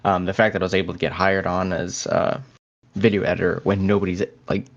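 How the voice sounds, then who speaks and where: Young man, lowish voice, hesitant low-key podcast chat, slightly muffled lo-fi recording. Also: level tone, a little fast. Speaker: podcast co-host. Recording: dull, lo-fi audio.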